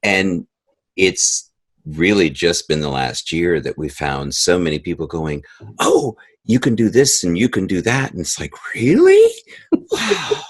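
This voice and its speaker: A man's voice: sits low, wildly swooping pitch, unhurried, slightly rough. A man speaking as a guest composer and sound editor in a conversational podcast interview, animatedly acting out quoted reactions.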